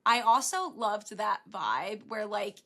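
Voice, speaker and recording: A woman co-hosts a casual music review with a very bright voice and strongly varied intonation. Her way of talking is gushing and playful, at an average pitch for a woman. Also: animated, takes it slowly, vocal fry. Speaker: podcast co-host.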